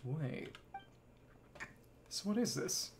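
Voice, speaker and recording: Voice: medium pitch, sing-song, extremely slow, slight background noise. A game streamer giving casual commentary, thinking aloud and reading bits of dialogue in fragments. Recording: game music behind the voice. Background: video game music.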